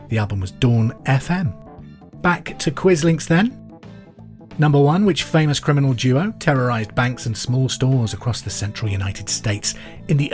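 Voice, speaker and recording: A man hosting a pub quiz podcast, reading questions and answers in a clear, deliberate way with casual asides. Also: medium pitch, darkish tone. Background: music under the voice.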